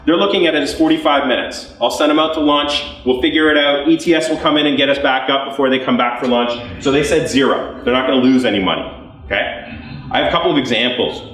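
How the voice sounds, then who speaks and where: A man's voice: mid-range voice, quick pace, a bit bright, far from the mic. A man with a Canadian accent giving a conversational, jokey live sales presentation to an audience, often tagging points with "okay?". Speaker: business development executive presenting. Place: event room with a live audience. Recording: echoey room.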